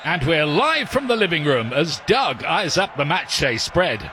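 An announcer playing an excitable male sports commentator calling small living-room moments as if they were a big match. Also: on the high side, unhurried, background noise. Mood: excited. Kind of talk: excited play-by-play commercial read.

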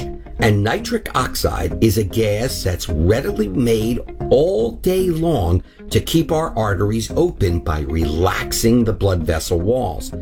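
A man who presents himself as a doctor reads a radio advert slowly and warmly. His low voice rises and falls in a lively way. Plucked guitar plays clearly behind him. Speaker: doctor pitching a supplement.

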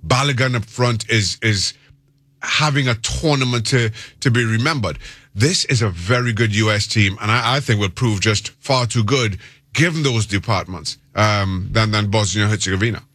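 A man with a Trinidadian accent giving considered soccer analysis as a guest, measured and articulate, often repeating words for emphasis. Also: fairly low pitch, a bit bright, no echo. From Trinidad and Tobago. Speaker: soccer pundit, interview guest.

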